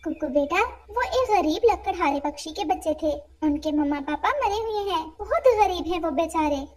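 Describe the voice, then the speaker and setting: A wise mother sparrow from a children's cartoon, voiced by a woman. She explains things gently and soothingly in a very high voice with lively pitch movement. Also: normal speed. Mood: gentle.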